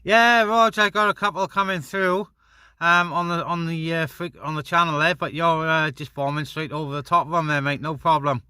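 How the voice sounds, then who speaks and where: A man's voice: fairly high pitch, steady tone, normal speed, bright, forceful tone, clear, resonant tone, no room sound, studio quality. A man chatting casually and informally as a vlogger and CB radio operator. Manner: matey, informal. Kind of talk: casual radio chat and vlog talk. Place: outdoors on a mountain top.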